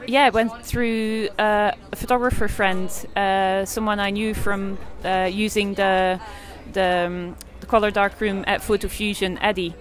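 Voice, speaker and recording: A woman from Belgium in her forties answers interview questions about her art and photography. She talks haltingly, with frequent fillers and restarts. Her pitch stays level, with very little rise and fall. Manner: hesitant, many fillers and restarts. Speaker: artist and photographer.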